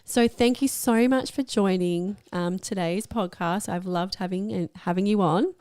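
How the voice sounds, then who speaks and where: A woman hosting a chatty podcast interview, effusive and encouraging, with a slightly croaky voice. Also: mid-range voice, a little slow, darkish tone, no echo, clean studio sound. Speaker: podcast host.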